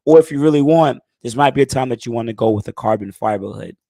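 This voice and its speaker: A man in his thirties hosting a casual live stream Q&A, talking in a laid-back, conversational way, his voice a bit hoarse as he gets it back. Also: medium pitch, fast talker, dark tone, no room sound, clean studio sound. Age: 30s. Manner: laid-back, conversational, frequent "you know what I'm saying". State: hoarse, recovering lost voice. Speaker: channel host answering live chat.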